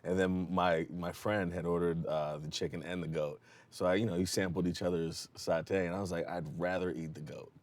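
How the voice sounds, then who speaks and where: A man with a very deep voice chats casually on a panel, laid-back and quick to laugh. The sound is dry and close. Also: little pitch movement, darkish tone, dry recording. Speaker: guest.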